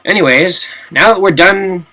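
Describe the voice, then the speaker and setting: A man's voice: high voice, moderate pace, pushed and bright, low-quality recording. A young man giving casual, chatty commentary while playing through a game, with self-mocking jokes and asides. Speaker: let's play commentator.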